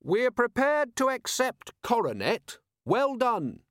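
Man doing an unflappable, polite police captain, lifted pitch. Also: very animated, slow pace, fairly bright tone, dry recording, clean studio sound, heavily acted. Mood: earnest, polite. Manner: very polite, level, earnest. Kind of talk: audiobook character voice.

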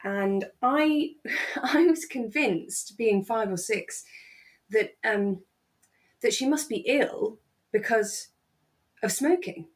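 A woman's voice: a little high, animated, takes it slowly, long pauses. A woman co-hosting a podcast in casual, reflective conversation, thinking aloud hesitantly and often repeating phrases as she goes. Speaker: podcast co-host.

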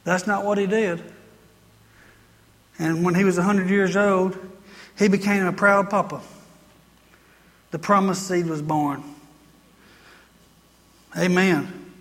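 A woman's voice: lowish voice, fairly even intonation, slow pace, long pauses. An American woman preaching a sermon to a congregation in an emphatic, repetitive, exhorting manner. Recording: reverberant hall sound.

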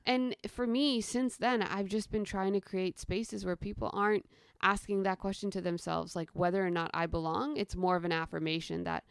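A woman in her thirties from the United States, a storyteller guest who chats casually and then tells a personal story in the present tense, with lively quoted voices. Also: mid-range voice, moderate pace, creaky, clean tone, dry recording, studio quality.

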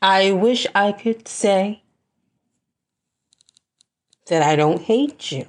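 A woman delivering a scripted spoken-word monologue in a deliberate, measured way, with pauses between phrases. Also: mid-range voice, animated. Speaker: podcast host.

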